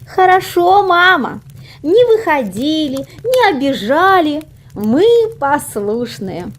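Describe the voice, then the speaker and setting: Naughty little storybook goat kids, voiced by a woman, pitched very high. They sound childish and whiny, with sing-song swoops and put-on innocence. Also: sing-song, slow pace, full character voice. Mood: falsely meek. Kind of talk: storybook character reading.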